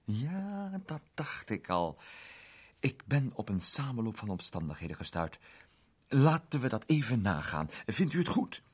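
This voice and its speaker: A man's voice: medium pitch, sing-song, unhurried, husky, voice pushed up. A man voicing a cheerful, methodical male salesman turned amateur detective who likes quoting mottos. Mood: eager, lively. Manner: precise, fond of quoting mottos. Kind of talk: radio play reading.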